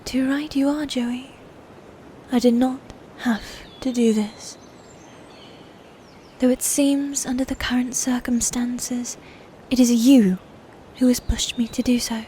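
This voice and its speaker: A woman playing a proud, bitter female knight in a fantasy ASMR roleplay, breathy and often near a whisper, with formal, commanding diction. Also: a little high, steady tone, takes it slowly, mellow, slight background noise. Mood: cold, threatening.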